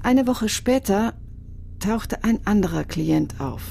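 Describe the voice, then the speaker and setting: An actress playing a solemn, soulful female psychic medium in a radio crime drama, speaking in a measured, hesitant, grave way. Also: mid-range voice, expressive, vocal fry, dark tone, slight background noise. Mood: solemn, mysterious.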